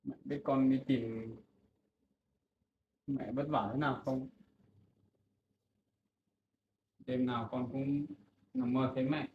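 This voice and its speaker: A man's voice: medium pitch, level tone, measured pace, a little hiss or hum, lightly acted. A man playing a grown son who has finally found his long-lost mother, talking earnestly and pleadingly. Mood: wistful. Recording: echoey, dull sound.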